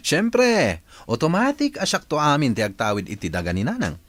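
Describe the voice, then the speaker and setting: A man's voice: fairly high pitch, huge pitch swings, moderate pace, a bit bright, no room sound, heavily acted. A man playing a pushy, grasping elder brother in a radio drama, emphatic and complaining, with an Ilocano accent. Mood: scheming.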